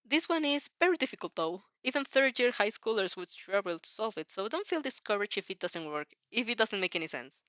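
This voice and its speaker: A woman's voice: mid-range voice, very animated, fairly quick, few pauses, a bit bright, no room sound, muffled recording. A woman voicing a mild, encouraging male schoolteacher in a fan fiction reading, speaking politely and a little hesitantly. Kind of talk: fan fiction read aloud.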